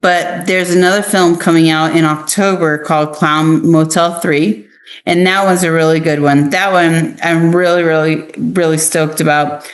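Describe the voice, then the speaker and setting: Woman, American accent, low register, earnest and emphatic interview guest. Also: steady tone, normal speed. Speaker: interview guest, actress and author. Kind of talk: conversational interview answers.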